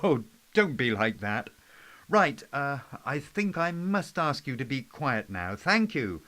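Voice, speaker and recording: A man's voice: medium pitch, sing-song, takes it slowly, bright, forceful tone, full character voice. A man playing a polite, folksy British Prime Minister in an amateur play, chatty and a little hesitant as he gives a public address. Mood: polite, addressing crowd. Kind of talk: amateur play script performance.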